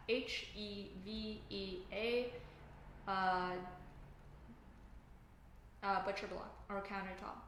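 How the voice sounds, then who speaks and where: A woman's voice: medium pitch, fairly bright tone, slight background noise. A woman from Canada with a Canadian accent, chatting casually on a livestream, full of filler words and tangents. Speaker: livestream host, software engineer. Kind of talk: casual livestream chat reading comments. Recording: echoey room, faint background ambience.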